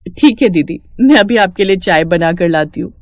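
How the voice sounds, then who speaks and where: Young storybook bride played by a woman: good-natured, chatty, obliging, lively pitch, dry sound. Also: normal speed, no room sound, natural voice.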